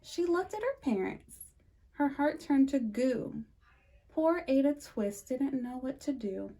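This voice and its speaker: Woman, fairly high voice, unhurried storytime reader, sing-song rhyming delivery. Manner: lively sing-song reading with slow emphatic pauses. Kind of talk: reading a rhyming picture book aloud.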